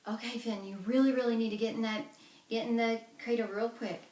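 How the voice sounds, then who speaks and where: A woman voicing a wise, brisk wife of a giant in a folk tale, speaking high and coaxing. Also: lively intonation, roomy sound, far from the mic, close to an everyday voice. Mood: urgent.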